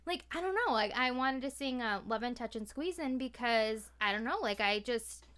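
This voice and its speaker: An American woman from Maine talking in an informal, animated, slangy way as a podcaster. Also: on the high side, moderate pace. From Maine, USA. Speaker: podcast guest, podcaster and voice actor. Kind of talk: casual interview chat.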